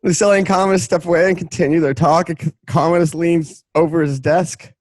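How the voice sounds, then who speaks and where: A man narrating stage directions from a script, haltingly, often stumbling and restarting his sentences. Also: fairly high pitch, steady tone, a little mellow.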